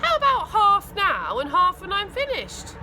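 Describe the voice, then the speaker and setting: A woman's voice: very high voice, huge pitch swings, unhurried, background noise, pitched above the performer's own voice, heavily acted. A woman reading a storybook and voicing a cheeky, wheedling schoolboy, full of exclamation. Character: cheeky schoolboy, eager for money, reluctant dog walker.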